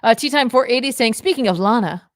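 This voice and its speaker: A young woman co-hosting a comic book show, playful and quick to laugh, reading viewer comments aloud. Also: on the high side, animated, dry recording, studio quality. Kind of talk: casual podcast chat, reading viewer comments.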